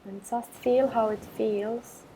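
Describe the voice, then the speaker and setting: A woman leading a guided meditation with affirmations, speaking in a calm, gentle, measured way with long pauses. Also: on the high side, slight background noise, rough recording.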